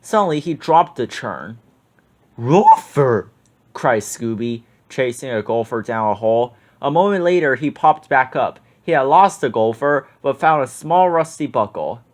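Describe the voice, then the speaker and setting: A man's voice: mid-range voice, normal speed, few pauses. Man reading a children's book aloud. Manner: expressive, lively read-aloud.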